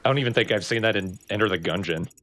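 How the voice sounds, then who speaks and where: A man's voice: fairly low pitch, racing, fairly bright tone. A man co-commentating on a video game in casual chat, curious and bantering with the others. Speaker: co-commentator watching the gameplay. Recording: faint background noise.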